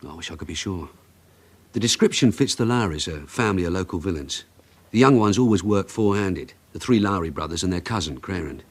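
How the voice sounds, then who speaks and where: An actor playing a dogged, brisk police detective leading an inquiry in a radio drama. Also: male voice, low-pitched, animated, husky. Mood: probing, frustrated.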